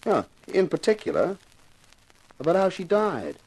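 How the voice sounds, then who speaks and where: Wisecracking 1950s radio detective, higher voice, swings around a lot, hardboiled and persuasive. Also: male voice, huge pitch swings, a little slow, a little mellow, husky, full character voice, poor audio. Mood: gentle, probing. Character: wisecracking male TV detective actor playing amateur sleuth, persuasive. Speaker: lead actor and narrator. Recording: vintage 1950s radio recording.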